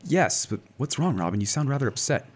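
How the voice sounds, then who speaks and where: A kindly, assured hero played by a male actor in the manner of an old radio serial. His voice sits at a medium pitch, and he carries himself with confident, upright warmth of character. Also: big pitch swings, fairly quick. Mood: attentive. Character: confident, kindly heroic man, superhero and mild reporter.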